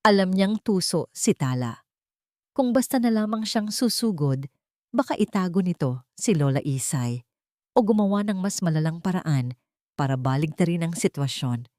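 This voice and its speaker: A woman narrating a dramatic audio story in an emotive, expressive way. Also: on the low side, normal speed, no echo, clean studio sound.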